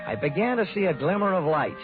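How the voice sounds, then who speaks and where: An actor playing a cynical, wisecracking insurance investigator in a 1940s radio detective drama, wry and dry. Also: male voice, sits high, sing-song, fast talker. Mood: wry, narrating. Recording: tinny, narrow-band 1940s radio recording, music.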